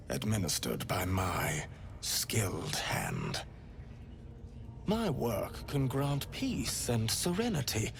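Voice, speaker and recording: An actor playing a serene, fervently devout priest of a pain goddess in a video game, with theatrical, courtly tenderness and a breathy voice that slips into whispers. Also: male voice, fairly low pitch, background noise, heavily acted. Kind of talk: video game character acting.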